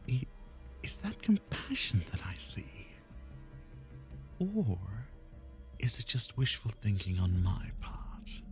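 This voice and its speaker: Creaky, breathy obsessive kidnapper played by a man. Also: medium pitch, dark tone. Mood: tender, threatening. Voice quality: breathy, often dropping into whispers. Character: obsessive, lovesick, menacing yandere kidnapper man, self-pitying. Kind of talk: roleplay ASMR monologue, dramatic and intimate.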